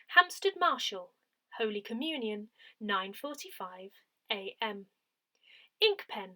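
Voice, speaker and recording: A woman reading a scripted parish newsletter aloud in a deliberate, clearly phrased announcing manner. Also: fairly high pitch, bright, forceful tone. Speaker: newsletter reader.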